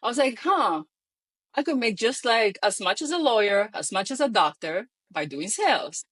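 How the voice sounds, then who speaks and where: A woman from the Dominican Republic with a Dominican accent, a guest telling stories in a relaxed interview and laughing often. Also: on the high side, normal speed, slightly rough. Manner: animated storyteller, laughs often, acts out quoted people. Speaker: guest, real estate founder. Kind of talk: conversational interview.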